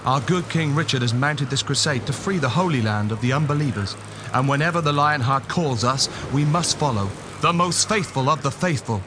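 A man's voice: medium pitch, normal speed, background noise, natural voice. A man playing an earnest, loyal crusader knight. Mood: resolute. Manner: earnest, declamatory. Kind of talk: children's audio drama acting. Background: music.

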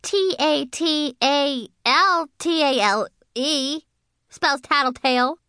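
A woman voicing a cheeky, chatty little schoolgirl in an audiobook, with a chirpy, drawn-out delivery. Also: high-pitched, bright, forceful tone, dry recording, clean studio sound, voice pushed up, full character voice. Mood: sassy. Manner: sassy, chirpy, drawn-out words. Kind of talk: audiobook character reading.

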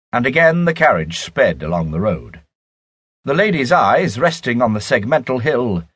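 Husky man narrating with lively intonation. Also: medium pitch, dry recording. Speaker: audiobook narrator. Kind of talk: scripted literary narration.

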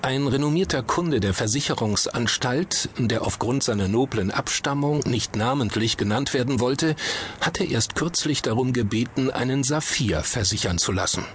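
A man narrating a detective story in a measured, dramatic storytelling manner. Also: mid-range voice, lively intonation, a little hiss or hum. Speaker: audiobook narrator. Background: prominent music.

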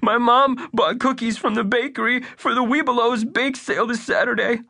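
A woman's voice: steady tone, sharp tone. A woman voicing a worried, whiny young boy telling about his lost cookies. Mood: upset. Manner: high, pleading, rushed. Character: anxious young boy client, whiny and upset.

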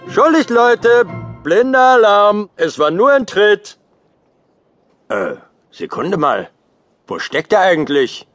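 A man's voice: high voice, sing-song, slow, long pauses, fairly bright tone, no room sound, soft background music, full character voice. A man playing a big, overprotective, fretful mammoth and expectant father in a children's audio play. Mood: sheepish relief. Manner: fretful, blustering.

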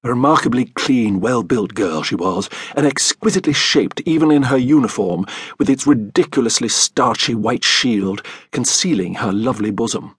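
A man's voice: medium pitch, expressive, moderate pace, few pauses, husky, no echo. An actor playing a worldly, roguish older uncle with a British accent, reading his letter in an urbane, droll audiobook style. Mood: wry reminiscence. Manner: urbane, droll, florid phrasing.

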